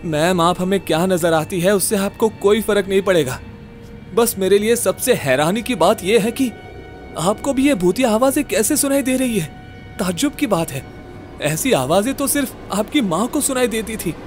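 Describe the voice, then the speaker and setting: A man's voice: high-pitched, animated, moderate pace. A man playing a bold, exasperated ghost-case solver in a horror-comedy audio drama, blunt and insistent, mixing in English. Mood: wry. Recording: music bed behind.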